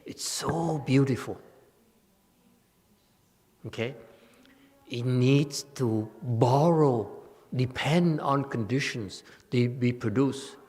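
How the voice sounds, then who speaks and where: Slow-speaking man teaching in an echoing hall. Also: medium pitch, darkish tone. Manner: halting, repetitive, checks listeners follow. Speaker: Buddhist teacher, dharma host. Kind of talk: sermon, explanatory scripture lecture. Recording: long echoing hall reverb.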